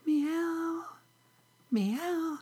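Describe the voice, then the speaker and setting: Tiny high-pitched sweet orphan kitten. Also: warm tone, exaggerated voice. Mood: soft mewing. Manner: mewing, pleading, halting. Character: small orphaned kitten, sweet, timid but insistent.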